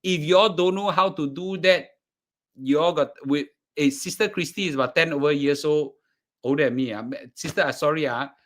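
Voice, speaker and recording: A man with a Singaporean accent talking casually to a class as presenter, restarting and repeating words. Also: a little high. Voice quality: halting, repeats words, fillers. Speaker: course presenter. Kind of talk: casual live class talk.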